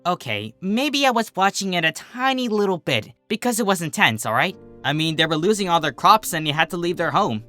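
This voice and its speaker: A man's voice: sits high, big pitch swings, a little fast, few pauses, pushed and bright, soft background music, pitched above the performer's own voice, heavily acted. A man voicing a brash, defensive, teasing young female athlete pony with casual, slangy, huffy delivery.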